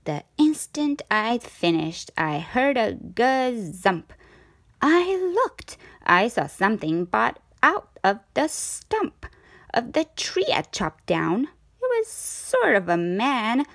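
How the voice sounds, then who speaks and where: Lady doing kids storytime, dramatic and really singsongy range, bit of creak, super dry close sound. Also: fairly high pitch, sing-song, takes it slowly, fairly bright tone, dry recording. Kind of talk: expressive children's read-aloud.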